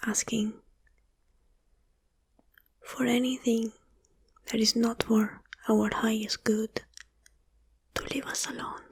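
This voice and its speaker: Very slow whispering woman, ASMR meditation. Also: on the high side, level tone. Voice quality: breathy whisper. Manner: hushed, soothing, drawn-out phrases with long pauses. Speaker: ASMR channel host and meditation guide.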